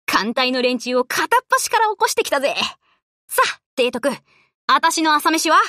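A woman voicing a brash, tomboyish young warship girl in an anime game, talking in rough, cocky, casual boyish slang. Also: sits high, lively intonation, normal speed, pushed and bright, no room sound, clean studio sound. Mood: breezy, casual. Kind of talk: Japanese anime game voice lines.

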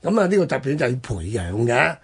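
A man with a Hong Kong Cantonese accent hosting a history talk, telling it conversationally in halting, particle-filled phrases. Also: mid-range voice, big pitch swings, slightly rough. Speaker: radio history programme host.

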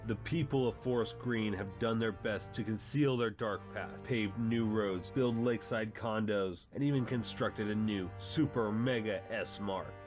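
A man's voice: fairly low pitch, a little slow, few pauses, music in the background. A man hosting and narrating a comic book story as a dramatic reading. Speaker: channel host and narrator.

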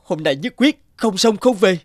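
A man's voice: sits high, animated, moderate pace. A man voicing an earnest, dogged young woodcutter in a cartoon, exclaiming and talking to himself. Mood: indignant, determined.

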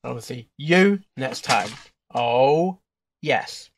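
A man hosting a reaction video with casual, informal commentary, halting and rambling as he thinks aloud. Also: medium pitch, expressive, very noisy. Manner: halting, repeats and rambles through thoughts. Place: indoors at a computer.

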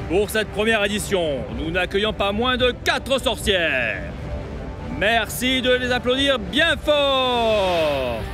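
A man's voice: very high-pitched, full character voice. A man playing a pompous older town mayor in a cartoon, announcing in a grandiose, theatrical way. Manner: grandiose, drawn-out announcer delivery.

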